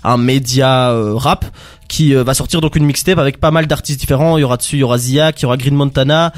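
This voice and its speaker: A man presents music news in a casual panel discussion. He talks very quickly at a medium pitch, yet keeps breaking the flow with filled pauses.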